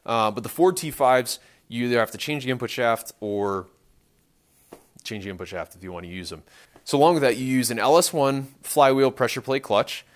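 A man's voice: medium pitch, expressive, normal speed. A man in his thirties hosts a casual workshop vlog in a mid-range voice. He explains technical details off the cuff, with offhand, dry humor. He is recorded at arm's length inside a large garage. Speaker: host of a car restoration vlog. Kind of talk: casual unscripted workshop talk.

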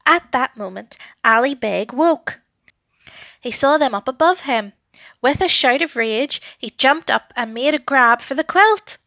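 A woman narrating a children's story with an expressive, deliberate, dramatic delivery. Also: sits high, no echo.

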